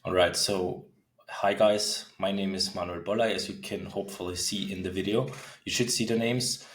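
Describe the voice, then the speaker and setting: A man's voice: low-pitched, fairly even intonation, normal speed. A man in his twenties with an Austrian German accent, chatting casually as a podcast guest. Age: 20s. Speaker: podcast guest, author and men's coach. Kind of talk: casual interview chat.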